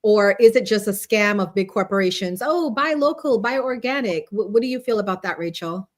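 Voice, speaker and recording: A woman's voice: mid-range voice, animated, fairly quick. Woman hosting a casual live discussion. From Guyana. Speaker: host of an online panel talk.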